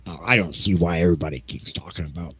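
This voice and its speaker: A man's voice: deep voice, fast, a bit bright, husky, no room sound, exaggerated voice. A man playing an evasive, petulant railroad executive in an audio play, stammering and blustering defensively. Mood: evasive, irritated.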